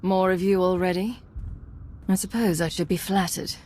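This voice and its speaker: An actress playing a steady, determined female agent and commander in a video game, composed and direct. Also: on the low side, normal speed, lightly acted. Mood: dry, defiant. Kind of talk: video game cutscene acting.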